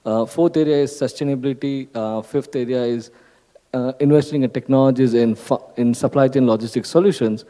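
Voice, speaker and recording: Man, warm mellow voice, panel talk, hall reverb. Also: mid-range voice, a little fast. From India. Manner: enumerating, frequent fillers, asks "right?". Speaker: venture capital panelist. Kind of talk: panel introduction. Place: conference hall stage.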